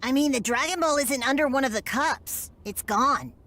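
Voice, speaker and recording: A woman's voice: sits high, normal speed, fairly bright tone. A woman playing a plucky, unassuming young boy fighter in a game, casual and straightforward. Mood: confident.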